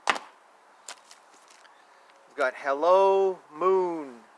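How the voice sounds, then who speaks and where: A man reading children's picture books aloud in a playful, expressive way, with casual asides and repeated phrases. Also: high-pitched, drawn-out words. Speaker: children's book reader. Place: outdoors. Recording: noisy outdoor ambience. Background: outdoor ambience, animal and vehicle sounds.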